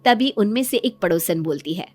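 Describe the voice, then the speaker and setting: A woman's voice: medium pitch, expressive, moderate pace, no echo, faint music behind. A woman narrating a scripted moral story in storybook style.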